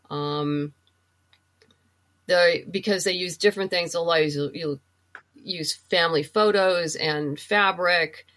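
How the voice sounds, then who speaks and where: Slow-talking, lower-voiced woman in conversation. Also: a bit bright. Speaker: podcast guest, writer. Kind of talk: casual interview chat.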